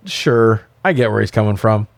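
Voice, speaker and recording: A man's voice: lowish voice, moderate pace, creaky, no echo. A man co-hosting a casual comedic movie recap, talking in a wry, slangy, profane way. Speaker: podcast co-host recapping a film.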